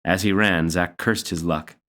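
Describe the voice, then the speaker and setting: A man with a low-pitched voice reads a children's story aloud. He delivers it as scripted storybook narration. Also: fairly even intonation, a little slow, fairly bright tone. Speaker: story reader.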